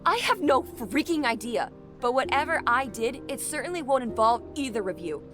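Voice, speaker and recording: A woman's voice: high-pitched, sing-song, normal speed. A woman playing a tough, no-nonsense police captain in an audio drama, brusque, sardonic and commanding. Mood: defiant, menacing. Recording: dramatic music underneath. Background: music.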